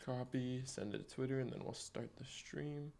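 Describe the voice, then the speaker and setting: Hoarse gravelly guy streaming trades, chatty, talking thru charts at a desk. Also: mid-range voice, normal speed, dark tone, a little hiss or hum. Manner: chatty, greets chat viewers by name. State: hoarse, losing his voice, clearing his throat. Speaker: day-trading livestreamer. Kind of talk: casual live-stream market commentary.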